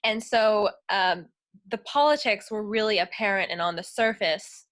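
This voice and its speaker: A young woman giving an academic talk while ill with the flu, her voice strained, speaking haltingly with many pauses and fillers. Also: mid-range voice, fairly bright tone. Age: young adult. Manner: halting, many ums, apologetic. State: ill, losing her voice, clearing throat. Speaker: guest speaker. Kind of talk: academic talk on a video call.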